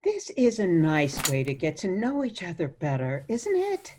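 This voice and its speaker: A woman acting in a radio play as a sweetly meddling, fussy old mother-in-law with a genteel, gently disapproving manner. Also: fairly low pitch, huge pitch swings, measured pace, music in the background. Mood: chatty. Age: older.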